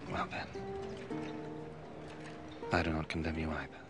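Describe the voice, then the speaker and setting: A merciful holy teacher in a biblical film. The voice is extremely low, quiet and gentle, under loud music. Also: male voice, level tone, a little slow.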